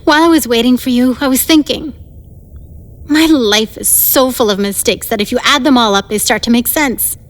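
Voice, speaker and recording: A woman's voice: fairly high pitch, normal speed, natural voice. Woman playing a moody teenage gang girl, reverberant. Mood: melancholy. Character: moody, tearful, insecure teenage girl gang boss, 1950s. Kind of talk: audio drama acting.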